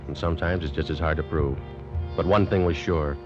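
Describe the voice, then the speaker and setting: A man's voice: a bass voice, fairly even intonation, brisk, dull audio, over music. A man acting a tough, weary American army lieutenant in a 1940s radio drama, clipped, commanding and hard-boiled. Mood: wry, measured. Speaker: lead actor and first-person narrator. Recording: noisy vintage radio recording.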